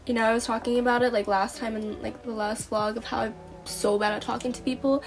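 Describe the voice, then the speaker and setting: A young woman vlogger chatting casually, a little nasal from a cold, telling stories in an animated way with lots of fillers. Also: on the high side, fast. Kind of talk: casual vlog chat.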